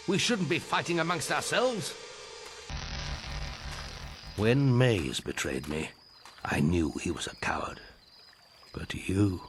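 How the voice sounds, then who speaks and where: Solemn old guildmaster, wide-swinging delivery. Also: male voice, on the low side, slight background noise, heavily acted. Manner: solemn, earnest. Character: grave old male guildmaster, disappointed mentor pleading for peace. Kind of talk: dramatic video game acting.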